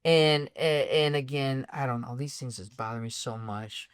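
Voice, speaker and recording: A woman co-hosting a film podcast, ranting in exasperation about a movie's plot holes, her voice going a bit raspy. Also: rumbling low, normal speed, no room sound, clean studio sound. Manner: exasperated, ranting, animated complaints. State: voice getting raspy. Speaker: podcast co-host. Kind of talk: casual film critique chat.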